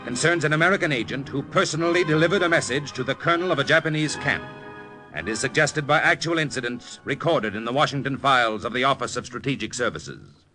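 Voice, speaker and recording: A man's voice: mid-range voice, expressive, quick pace, natural voice. A man as a solemn, dramatic announcer introducing a 1950s radio drama series. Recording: old radio broadcast with music.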